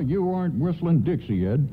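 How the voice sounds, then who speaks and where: An actor playing a wry, excitable department store Santa in a colloquial radio-play style. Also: male voice, fairly high pitch, normal speed. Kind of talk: old-time radio play acting. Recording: narrow-band AM radio, faint noise.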